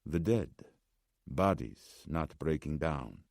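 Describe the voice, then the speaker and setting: A man voicing an anxious, formal old gentleman with a Slavic accent, speaking deliberately as an audiobook character. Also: extremely low pitch, creaky, dry recording, studio quality, exaggerated voice. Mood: grave, cryptic. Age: older. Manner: formal, deliberate, agitated but striving to speak calmly.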